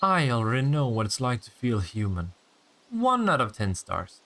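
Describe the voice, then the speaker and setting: A man's voice: mid-range voice, huge pitch swings, fairly bright tone. Man playing a smug, teasing vampire, swooping intonation. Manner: sardonic, teasing, swearing, drawn-out taunts. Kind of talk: intimate audio roleplay acting.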